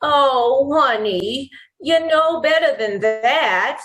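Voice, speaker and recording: A woman's voice: high-pitched, animated, very slow, a bit bright, full character voice, poor audio. A woman playing a nervous, placating gangster's girlfriend in 1940s radio style. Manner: hesitant, placating. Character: nervous, pleading female gangster's moll.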